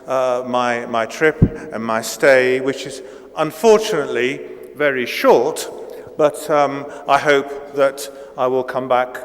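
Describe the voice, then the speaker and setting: Slow, hesitant man in echoing hall. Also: medium pitch, steady tone. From Italy. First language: Italian. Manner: hesitant, many ums and restarts. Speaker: guest lecturer. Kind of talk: academic keynote lecture. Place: lecture hall. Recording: long reverb in a lecture hall.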